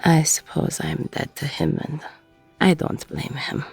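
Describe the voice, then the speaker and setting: A woman playing a weary, gruff, middle-aged ex-con bartender, husky and breathy, blunt and self-deprecating, in an intimate audio roleplay. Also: sits low, fairly even intonation, a little slow, darkish tone, soft background music, exaggerated voice. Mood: regretful, subdued.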